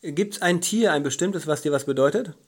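A podcast host who works through a list of interview questions. The delivery is halting and full of hesitant fillers, with a mid-range pitch. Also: slightly rough.

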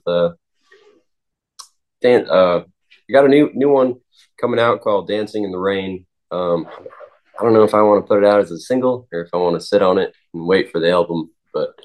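Young man with a very low voice. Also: a little mellow, poor audio. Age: 20s. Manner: halting, many ums and restarts. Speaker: singer-songwriter guest. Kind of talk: casual interview answers.

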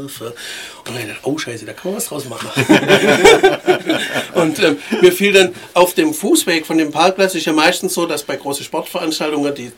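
Guy, musician, bit of a high voice, chatting casually in an interview. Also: a bit bright. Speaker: musician and lyricist being interviewed. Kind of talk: casual anecdotal interview talk.

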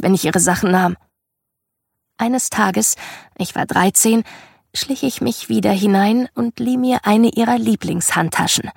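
A woman narrating a first-person memoir as a scripted audiobook, in a measured, reflective storytelling manner. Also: mid-range voice, vocal fry, a little mellow, husky, no room sound, clean studio sound.

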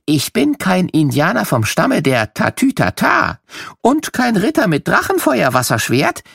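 A man's voice: high-pitched, huge pitch swings, measured pace, gravelly, fairly bright tone, husky, no room sound, clean studio sound, pitched above the performer's own voice, exaggerated voice. A man playing a proud firefighter who poses a riddle to children in a playful, theatrical, teasing way for an audio story. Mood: playful, boastful.

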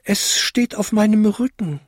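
A man's voice: unhurried, slightly rough, no echo, studio quality. A man voicing the smallest, brand-new bell in a storybook, polite, shy and earnest, with a tinkling voice. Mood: prim. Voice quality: high, tinkling. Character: smallest brand-new bell, timid, polite, naive little girl-like newcomer.

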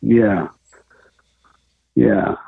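A man near seventy, a guitarist guest in a casual interview, relaxed and self-deprecating, often laughing. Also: lowish voice, drawn-out words, creaky, husky, no room sound. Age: older. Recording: tinny phone line.

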